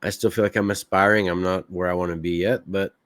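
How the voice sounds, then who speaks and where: A man's voice: sits low, flat delivery, fast talker, a bit bright, no room sound. A man from Quebec hosting a podcast, talking candidly and rambling with fillers. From Quebec, Canada. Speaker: podcast host. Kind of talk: casual solo podcast talk.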